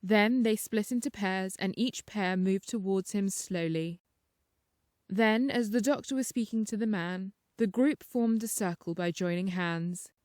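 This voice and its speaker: A woman's voice: mid-range voice, a little slow, darkish tone, no room sound. Woman narrating a story, dry close sound. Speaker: audiobook narrator. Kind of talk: scripted first-person story narration.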